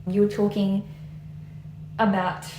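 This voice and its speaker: A woman's voice: mid-range voice, little pitch movement, slow. A woman hosting a podcast, asking her guest open questions in an encouraging way. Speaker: podcast host. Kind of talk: casual interview talk. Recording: echoey room with faint noise.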